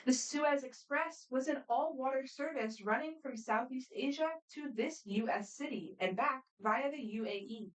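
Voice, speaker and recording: A woman moderating a student quiz competition, reading questions aloud in a brisk, friendly, matter-of-fact way. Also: sits high. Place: competition room. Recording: voice picked up from a distance.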